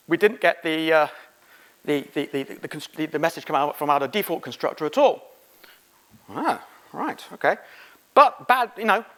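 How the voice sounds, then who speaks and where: A middle-aged man speaking as a conference presenter, giving an informal, halting technical lecture. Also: high voice, fairly bright tone. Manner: informal, halting, quizzing the audience. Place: conference room, on stage.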